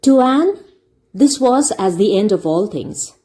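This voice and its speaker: A woman reading a classic story aloud as an expressive audiobook narrator. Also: medium pitch, unhurried.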